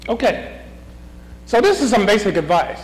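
High, lively Bible study leader. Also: male voice, moderate pace. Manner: questioning, chuckling, restarts often. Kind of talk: leading a group Bible discussion. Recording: reverberant meeting room.

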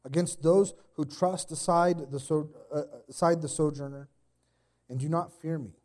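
A man in his thirties preaching a casual sermon while sick with a cold, his voice deeper than usual, with a slight cough and many fillers and restarts. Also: steady tone, normal speed, darkish tone. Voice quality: extra deep voice today. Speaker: pastor. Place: church.

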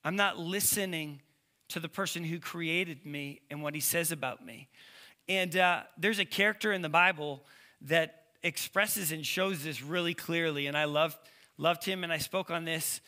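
A man's voice: a little high, sharp tone. A man with a lisp giving a casual, conversational sermon as a preacher, with informal, slangy storytelling. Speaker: preacher, youth ministry leader.

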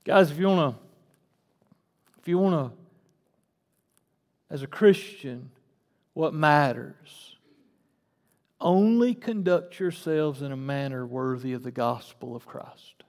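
A forty-something man preaches in a folksy, conversational way, now and then pushing into fervent emphasis. His voice sits high for a man. A long reverb trails his words through the church. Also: lively intonation, slow, long pauses, darkish tone. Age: 40s. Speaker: pastor. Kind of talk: casual sermon. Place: church sanctuary.

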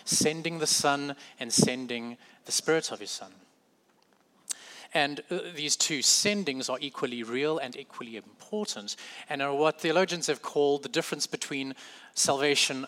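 A man's voice: medium pitch, pushed and bright. A man with a South African accent preaching a sermon in a conversational way, correcting himself and adding light humorous asides.

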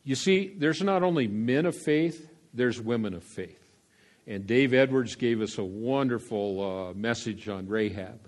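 An older male pastor delivering a folksy sermon, full of fillers and asides, swinging between quiet remarks and loud calls to the congregation. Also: medium pitch, lively intonation, a little slow. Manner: folksy, meandering preaching with frequent fillers.

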